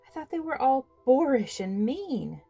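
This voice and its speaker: A woman voicing a shy, timid little man with a squeaky voice who stammers and hesitates, in a storybook. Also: big pitch swings, measured pace, vocal fry, warm tone, soft background music, full character voice.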